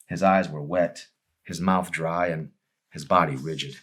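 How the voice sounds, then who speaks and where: A man's voice: deep voice, steady tone, a little slow, a bit bright. A man reading his own novel aloud in a dramatic audiobook narration style.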